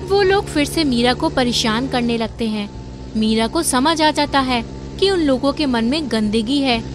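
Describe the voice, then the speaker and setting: A woman's voice: high-pitched, expressive, a little slow, background noise. A woman reading scripted story narration as a storyteller. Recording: faint music behind. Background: music.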